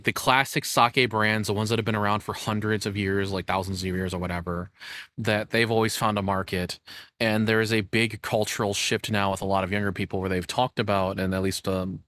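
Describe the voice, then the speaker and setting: A man's voice: on the low side, fairly bright tone, dry recording. A man giving casual, off-the-cuff reaction commentary as a host, talking in an informal, rambling way.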